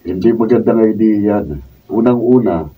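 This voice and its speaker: A Filipino man acting a skeptical, protective friend in a radio drama, with a husky voice. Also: on the low side, normal speed, dull audio. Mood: skeptical, alarmed. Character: skeptical, protective male confidant of a worried wife. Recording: distant mic.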